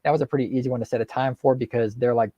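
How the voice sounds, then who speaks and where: A man's voice: mid-range voice, fairly even intonation, rapid-fire, no room sound, lo-fi sound. A man hosting a video call, easygoing as he walks through a schedule. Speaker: host. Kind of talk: casual video call chat.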